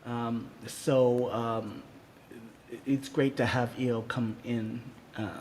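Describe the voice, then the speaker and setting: Slow, halting man with heavy reverb. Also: mid-range voice, level tone, a little mellow. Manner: halting, stammering, repeats words. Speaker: podcast host and music producer. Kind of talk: casual conversation on a live stream. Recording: reverb-drenched live stream, clear background noise.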